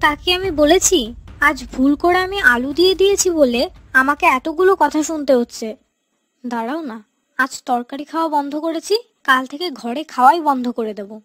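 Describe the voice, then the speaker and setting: A woman's voice: sits high, brisk, fairly bright tone, dry recording. A woman voicing a quarrelsome, jealous young village wife in a cartoon, snappy and defiant. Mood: muttering threats. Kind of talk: cartoon character dialogue.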